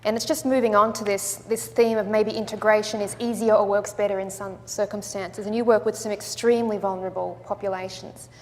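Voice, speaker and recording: A woman moderating a conference panel in a large, reverberant room. She speaks in a measured, inviting way as she poses questions and opens the floor. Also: medium pitch, flat delivery. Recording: long hall reverb.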